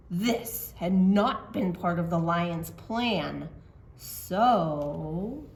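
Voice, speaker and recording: Woman doing library storytime for little kids, really lively up and down voice, takes her time. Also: fairly high pitch. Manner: expressive, explaining simply to children. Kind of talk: animated storytime narration and simple craft explanation for children.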